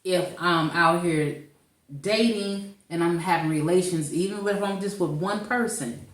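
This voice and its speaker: A woman with a St. co-hosts a casual podcast conversation. She sounds set back from the microphone in an echoey room. Her speech is colloquial, and she works through her reasoning out loud. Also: fairly low pitch, a little slow, far from the mic. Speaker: podcast co-host.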